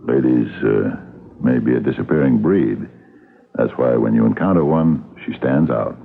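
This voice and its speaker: A shrewd police captain in a radio mystery, with an extremely deep, gravelly voice. He questions patiently, in halting, understated phrases, heard over a thin, narrow-band old recording. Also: exaggerated voice. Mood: quietly accusing. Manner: hesitant, deliberate, understated interrogator. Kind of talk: radio drama acting.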